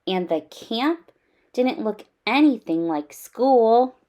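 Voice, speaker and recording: A woman reading a children's story aloud in an expressive, animated storytelling style with clear emphasis. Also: a little high, takes it slowly.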